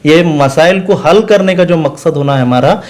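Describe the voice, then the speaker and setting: A man's voice: fairly high pitch, normal speed, a little mellow. A man giving earnest, emphatic political answers in an interview, repeating phrases for stress. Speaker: political party spokesman, interview guest.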